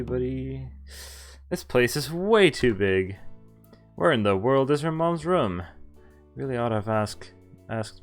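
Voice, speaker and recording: Male streamer, lowish voice, wry casual read-along of a visual novel, music underneath. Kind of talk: casual game walkthrough, reading dialogue aloud and commenting. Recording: game music behind the voice.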